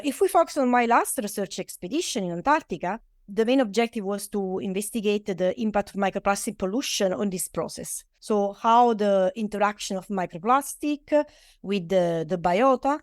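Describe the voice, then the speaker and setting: A middle-aged woman with an Italian accent speaks in English as her second language, pausing often on fillers as she explains her research. Her voice sits at an ordinary pitch for a woman. The recording is dry and close, with no room sound. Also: lively intonation, no echo. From Italy. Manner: hesitant non-native phrasing with frequent uh fillers. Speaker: guest, oceanographer. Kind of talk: interview answers.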